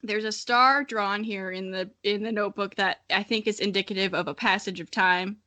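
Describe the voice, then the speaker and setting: A woman's voice: medium pitch, fairly even intonation, moderate pace, sharp tone, no echo. A young adult woman hosting a podcast, reading a childhood story dramatically with amused, self-mocking casual asides. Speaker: podcast host.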